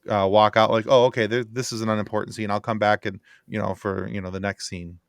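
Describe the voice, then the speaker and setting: Gravelly, lower-voiced man talking casually. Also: level tone, fast talker, no room sound, studio quality. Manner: rambling, frequent "you know". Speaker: podcast panelist. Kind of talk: casual movie discussion.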